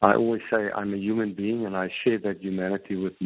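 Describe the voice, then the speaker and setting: Guy on a phone line, deep rough voice, keeps repeating himself, sounds tinny and dry. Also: little pitch movement, dry recording. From South Africa. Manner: halting, many repeated words. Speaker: Afrikaner community guest. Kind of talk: radio interview.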